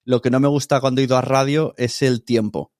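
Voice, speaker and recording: A man's voice: mid-range voice, steady tone, a little fast, darkish tone, no echo, studio quality. A man with a Spain Spanish accent talking casually as an interview guest. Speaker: podcaster guest.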